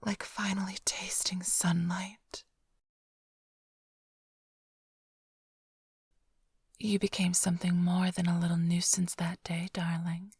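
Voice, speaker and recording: A woman playing a flirtatious, smug vampire thief in an intimate ASMR roleplay, teasing and sly in a breathy, often whispered voice. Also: lowish voice, monotone, normal speed, darkish tone, dry recording, clean studio sound. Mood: intimate, fond. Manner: teasing, purring, theatrically sly. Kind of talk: ASMR roleplay, intimate close address to listener.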